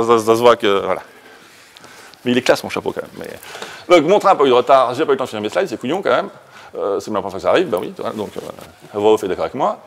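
A man's voice: medium pitch, vocal fry. A man in his thirties with a French accent presents in a lecture room, informally and with self-deprecating jokes. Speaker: conference speaker. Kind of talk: informal tech presentation with live demo.

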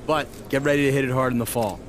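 An actor playing a breezy, joking pro basketball team staffer in a video game. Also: male voice, medium pitch, steady tone, quick pace, close to an everyday voice. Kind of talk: naturalistic video game cutscene acting. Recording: noisy background ambience.

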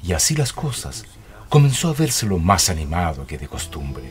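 Deep-voiced Chilean storyteller. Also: male voice, big pitch swings, moderate pace. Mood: wry storytelling. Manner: theatrical, wry. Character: wry, folksy male storyteller. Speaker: narrator. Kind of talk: scripted radio drama narration.

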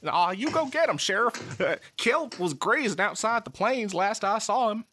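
A man doing an eager high-voiced frontier townsman. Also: lively intonation, moderate pace, sharp tone, a little hiss or hum. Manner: high, eager, twangy folksy talk.

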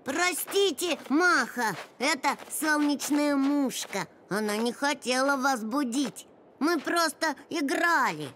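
A woman's voice: sits high, animated, slow pace, a bit bright, slight background noise, full character voice. A woman voicing a polite, earnest little polar bear cub in a cartoon, speaking thoughtfully. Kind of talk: animated series character acting.

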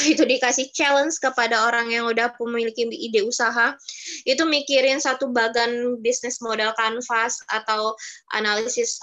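A woman's voice: on the high side, fairly bright tone, highs cut off. A woman in her twenties teaching students in a casual, chatty way over a video call. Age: 20s. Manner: chatty, addresses students as younger siblings. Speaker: webinar speaker.

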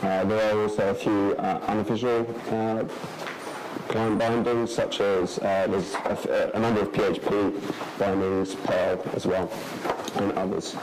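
A man in his thirties giving a technical conference talk in a large lecture hall, relaxed, with many fillers and restarts. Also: fairly low pitch, a little slow, background noise. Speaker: conference speaker.

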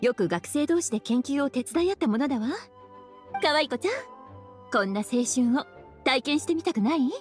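A woman's voice: high-pitched, animated, a little fast, long pauses, darkish tone, faint music behind. Sultry teasing witch librarian. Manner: languid, teasing, calls herself big sister. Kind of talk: Japanese game dub.

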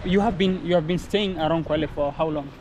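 A man's voice: on the high side, brisk. Young man, upbeat walking-tour vlogger, raised voice, arm's-length camera on a busy street. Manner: excitable, repeats phrases, addresses viewers. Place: outdoors on a busy town street. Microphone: camera held at arm's length. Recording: street traffic noise behind. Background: traffic and street noise.

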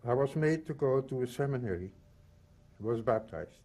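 A man in his eighties from the Netherlands and Belgium, reading a prepared personal testimony in measured, solemn short phrases. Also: mid-range voice, lively intonation, warm tone. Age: 80s. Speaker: Holocaust survivor giving testimony.

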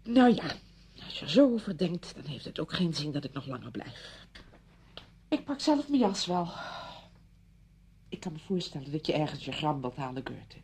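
An actress playing an impatient, exasperated woman visitor in a radio play, brisk, indignant and scolding. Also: deep voice, big pitch swings, a little mellow, slightly rough, full character voice. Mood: huffy, annoyed. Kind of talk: Dutch radio play acting.

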